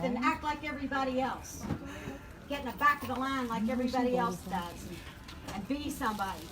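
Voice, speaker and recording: A woman's voice: sits high, poor audio. Older woman ranting in a store. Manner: loud, berating, coarse. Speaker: customer in a store line. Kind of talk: angry ranting in public. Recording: phone video in a store.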